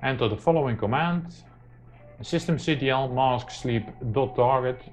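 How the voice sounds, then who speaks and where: A man presenting an informal hands-on tech tutorial, speaking haltingly with very frequent hesitations. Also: medium pitch. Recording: muffled lo-fi mic, music behind.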